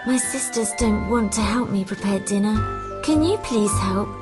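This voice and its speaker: A woman voicing a kind, gentle, helpful young woman in a children's fairy tale, speaking in a sweet, earnest, polite way. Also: medium pitch, measured pace, music in the background, lightly acted. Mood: cheerful.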